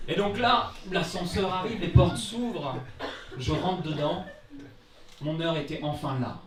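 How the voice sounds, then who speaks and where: A young man from France performs a comic story live on stage. His voice sits fairly high, and his delivery is theatrical, casual and self-deprecating. The sound is clearly roomy, picked up a little way from the microphone. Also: measured pace, far from the mic, a crowd in the background. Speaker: amateur storyteller at a live travel-story event. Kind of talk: comic personal travel story told on stage. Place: indoor live storytelling event before an audience.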